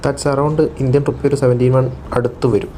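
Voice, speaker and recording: A man's voice: mid-range voice, little pitch movement, normal speed, mellow, a little hiss or hum. A man from Kerala with a Malabar Malayalam accent, narrating a casual vlog in a slangy, joking, familiar way. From Kerala, India. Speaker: vlogger.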